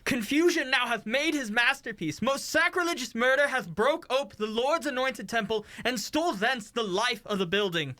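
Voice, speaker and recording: A horrified noble, acted by a man in a Shakespearean radio play. The voice is extremely high and piercingly bright, declaiming the verse in frantic alarm. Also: normal speed, hardly any pauses.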